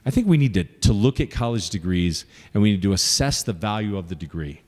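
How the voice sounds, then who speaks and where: A man's voice: on the low side, expressive, a little fast, darkish tone, long reverb tail. A man running for office, earnestly answering audience questions at a forum and listing his points with frequent fillers. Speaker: congressional candidate.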